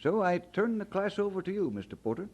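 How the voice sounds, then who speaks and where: An actor playing an earnest, devout young Scottish immigrant bound for seminary, halting when moved and firm about his faith, in a radio drama. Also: high-pitched, very animated, darkish tone. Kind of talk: mid-century radio drama acting.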